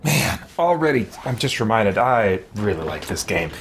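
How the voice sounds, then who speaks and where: A man's voice: on the low side, big pitch swings, normal speed, fairly bright tone, slight background noise. A man in his forties giving casual gameplay commentary, musing and often interrupting himself. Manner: rambling, musing, self-interrupting. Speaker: game streamer. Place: small indoor room with shelves.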